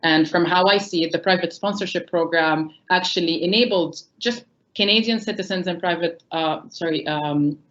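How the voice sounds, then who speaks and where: A woman's voice: lowish voice, moderate pace, a bit bright, muffled recording. A woman whose first language is not English, speaking earnestly on a panel with many ums and self-corrections. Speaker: panelist from a refugee employment nonprofit. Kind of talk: panel discussion remarks.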